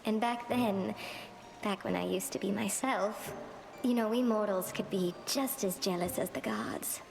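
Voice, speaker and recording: A woman playing a small, gentle, wistful cursed weaver in a video game, speaking haltingly. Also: medium pitch, vocal fry, rough recording. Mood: shy, tender. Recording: music behind the voice.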